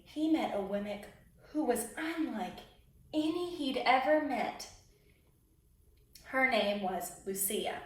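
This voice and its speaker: A woman reading a children's story aloud expressively, pausing to put questions to young listeners. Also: fairly high pitch. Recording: very echoey room, distant microphone.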